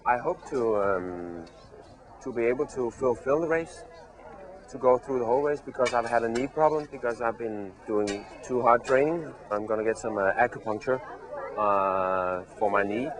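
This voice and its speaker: A Danish man who speaks English as a second language, a marathon runner talking outdoors in a halting way with many restarts. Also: medium pitch. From Denmark. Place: outdoors at a race.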